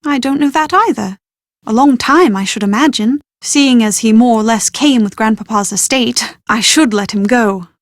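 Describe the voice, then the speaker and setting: Prim, high-voiced young British bride-to-be, played by a woman. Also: normal speed, dry recording, studio quality, lightly acted. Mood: mildly disdainful. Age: young adult. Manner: prim, articulate, genteel phrasing. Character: well-bred young bride-to-be, proud, exasperated yet confident. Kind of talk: scripted video game dialogue.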